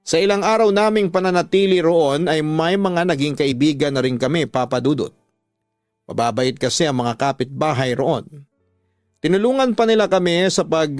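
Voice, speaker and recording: A man narrating a first-person radio drama story in an earnest, measured storytelling manner. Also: on the high side, dry recording. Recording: dry voice over music bed.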